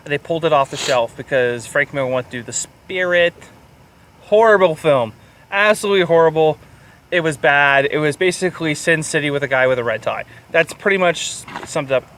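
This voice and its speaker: A man's voice: fairly high pitch, fairly quick, a bit bright. A man co-hosting a casual film review podcast, chatting irreverently and swearing freely. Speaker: podcast co-host. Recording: clear background urban ambient noise.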